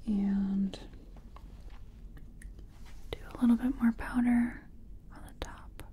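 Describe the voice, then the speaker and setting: A woman doing a soft-spoken ASMR personal attention roleplay, murmuring gently in short phrases with a breathy whisper. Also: fairly high pitch, fairly even intonation, takes it slowly, slight background noise. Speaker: ASMR creator role-playing a makeup artist.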